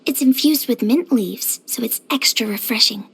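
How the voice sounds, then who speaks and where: A woman playing a cheerful, easygoing young craftswoman in a video game, with a gentle, chirpy, earnest manner. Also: fairly high pitch, normal speed, breathy edge. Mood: bright, wondering. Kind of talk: video game character voice acting.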